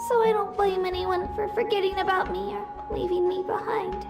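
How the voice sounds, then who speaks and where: A woman's voice: extremely high pitch, level tone, moderate pace, gravelly. A woman voicing a small, humble, frightened young girl trying to be brave in a video game, speaking hesitantly and earnestly. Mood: bravely reassuring. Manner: hesitant, earnest, self-effacing. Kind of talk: English video game voice acting. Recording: music behind the voice.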